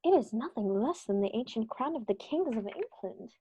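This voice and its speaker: A woman playing a calm, superior male detective who speaks in a terse, measured, authoritative way, in 1930s-style radio drama acting. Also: fairly high pitch, slightly rough, no echo, highs cut off, faint music behind. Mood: composed.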